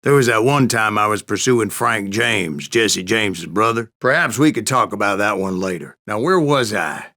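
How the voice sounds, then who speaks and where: An actor playing a grizzled old Wild West gunslinger in a video game, telling boastful tales in a folksy, theatrical American Western drawl with a gravelly voice. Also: male voice, lowish voice, lively intonation, normal speed, few pauses, bright, forceful tone. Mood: wry, rambling. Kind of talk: dramatic first-person storytelling narration for a western game.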